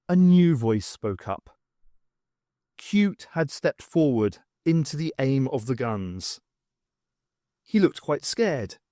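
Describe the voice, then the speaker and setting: A man's voice: on the high side, a little mellow, no room sound, studio quality. A man reading scripted dramatic narration for an audiobook.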